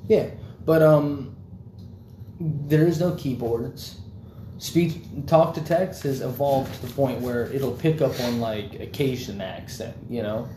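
A young man talks in loose, rambling casual chat, full of fillers and swearing. His voice is dark and mellow. The room is echoey, with a faint hum of background noise. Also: medium pitch, slow.

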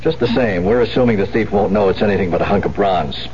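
An actor playing a brusque, wary American police lieutenant in a 1970s radio drama, questioning in a clipped, commanding way. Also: male voice, fairly low pitch, fast, a little mellow, lightly acted. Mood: confiding, businesslike. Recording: muffled, hissy 1970s radio recording with hum.

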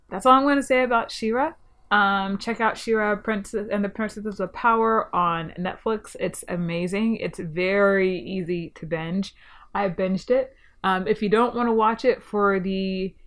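A young woman hosting a solo podcast review, talking in an enthusiastic, chatty way with frequent ums, her voice a little stuffy from a cold. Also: medium pitch. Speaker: podcast host.

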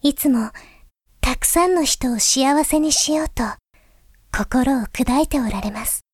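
Woman doing polite gentle princess, high soft voice, formal and earnest, dry studio-like sound, voice drama monologue. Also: measured pace, breathy edge, no echo. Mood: gentle, confiding.

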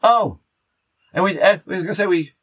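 A man's voice: sits high, brisk, fairly bright tone, breathy edge, no echo. A man hosting a podcast in casual chat, rambling and often interrupting himself mid-sentence. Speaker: podcast host.

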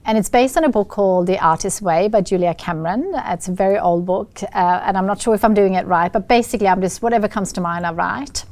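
A middle-aged woman from Sweden with a Swedish accent, talking in an interview as a guest, often pausing with fillers and restarting. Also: medium pitch, a little mellow. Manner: hesitant, many fillers and restarts. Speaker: guest, business founder. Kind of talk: casual interview talk.

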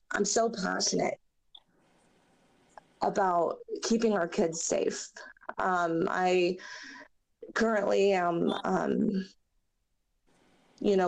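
Raspy, hoarse young woman, earnest. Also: lowish voice, a little mellow, low-quality recording. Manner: halting and earnest, many fillers, near tears. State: hoarse from a chest cold. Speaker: interview guest. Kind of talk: personal testimony in a podcast interview.

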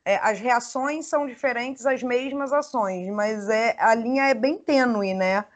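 Woman, co-host, casual, thoughtful, slightly high voice. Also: a little slow. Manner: thoughtful, adds on to others' points. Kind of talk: casual interview chat.

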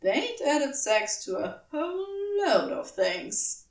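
A woman narrating scripted fiction in a measured, expressive storytelling manner. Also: high voice, distant mic. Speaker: audiobook narrator.

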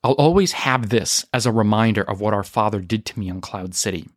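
A man's voice: lowish voice, brisk, dry recording, clean studio sound, natural voice. A man voicing an earnest young man, a loyal and conciliatory brother who speaks pleadingly, in a story reading.

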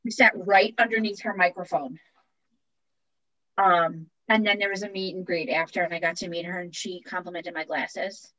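A woman's voice: fairly low pitch, fairly even intonation, normal speed, bright, forceful tone, rough recording. A woman in her forties with a Long Island accent, co-hosting a podcast in casual chat, laughing often and restarting her sentences. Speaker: podcast co-host.

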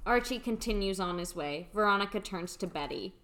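A woman's voice: medium pitch, animated, a little fast, fairly bright tone. A woman reading scripted stage directions as the narrator of a radio play.

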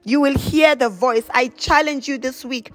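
High-pitched woman preacher, exhorting over music. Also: moderate pace, a bit bright. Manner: exhortatory, repetitive, emphatic phrasing. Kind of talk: charismatic prayer sermon with chanted glossolalia.

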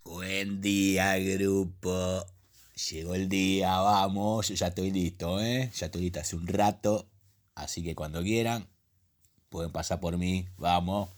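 An impatient, eager middle-aged man played by an actor in a radio comedy. His voice is fairly low and repetitive, with faint noise on the recording. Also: steady tone, measured pace. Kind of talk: comic radio play voice message.

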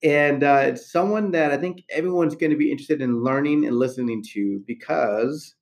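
A man's voice: medium pitch, normal speed, dry recording, studio quality. A man hosting a casual podcast interview, upbeat and chatty, with lots of fillers and restarts. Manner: upbeat, hyped greeting with many fillers and restarts. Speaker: podcast host.

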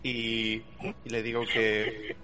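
A man's voice: fairly low pitch, monotone, takes it slowly, a loud crowd. A man playing a tabletop game, talking casually, often stumbling and repeating words. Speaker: player. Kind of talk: casual play chat.

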